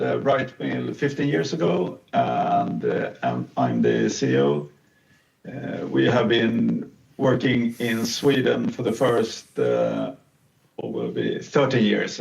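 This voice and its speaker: Slow gravelly man on a distant call. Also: on the low side. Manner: slow, hesitant, with many fillers. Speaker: CEO and founder. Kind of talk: webinar company presentation. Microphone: video call. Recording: distant dull video call audio.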